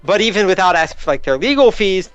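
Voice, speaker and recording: A man's voice: high voice, big pitch swings, fast talker, fairly bright tone, clear, resonant tone, no room sound. A man playing a tabletop game, casually reasoning aloud in an analytical way as he discusses it. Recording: dull lo-fi mic, music behind.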